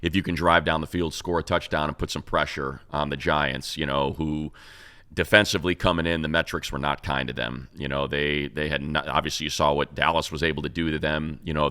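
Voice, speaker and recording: Sports co-host, American male, very deep yet bright voice, casual discursive analysis. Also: fairly even intonation, a little fast. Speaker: sports show co-host and radio play-by-play announcer.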